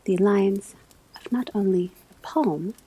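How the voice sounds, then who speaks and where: Here is a scholarly witch professor, acted by a woman, giving an ASMR classroom lecture. The voice is very breathy and soft, often slipping into a whisper, with a mellow tone. The delivery is slow, formal and deliberate, patient with a gently stern edge. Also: mid-range voice. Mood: instructive, measured.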